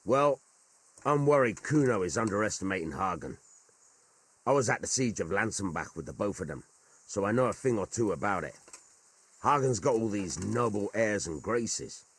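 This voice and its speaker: A man playing a rough, plain-spoken veteran mercenary in a video game, with a husky voice and an earthy, colloquial way of talking. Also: on the low side, animated, normal speed, a bit bright, no room sound, full character voice. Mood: wary. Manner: colloquial, rustic, earthy storytelling. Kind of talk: dramatic video game cutscene dialogue.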